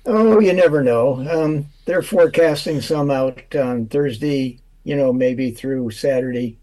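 A man giving a casual weather forecast on the radio, relaxed and unhurried, with many filler sounds. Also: mid-range voice, poor audio. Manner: relaxed, drawn out with lots of uhs. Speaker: weather forecaster and humor guest.

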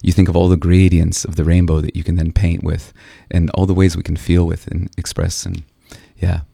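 A man's voice: low-pitched, level tone, brisk, gravelly, warm tone, husky, no room sound, clean studio sound. A man from Brazil who grew up with Portuguese, Spanish and English, speaking earnestly and reflectively as an interview guest. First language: Portuguese and Spanish alongside English. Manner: earnest, philosophical. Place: radio studio.

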